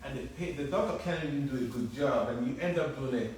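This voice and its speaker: A man's voice: medium pitch, fast talker, darkish tone, a little hiss or hum. A middle-aged man with a Nigerian accent, a plastic surgeon who explains emphatically and repeats words while he thinks. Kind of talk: interview on a live stream. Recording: echoey room, mic at a distance.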